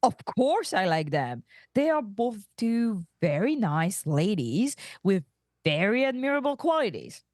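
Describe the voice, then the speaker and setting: A woman's voice: medium pitch, big pitch swings, unhurried, darkish tone, no room sound, studio quality. A woman reading the part of an eager, boastful, easily defensive young male starship officer in a comedic table read.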